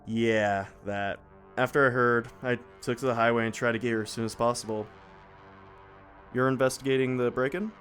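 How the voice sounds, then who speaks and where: A man's voice: medium pitch, steady tone, normal speed, fairly bright tone, faint music behind, lightly acted. A man playing a talkative, eager son in an audio drama, politely persistent with his questions. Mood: earnest.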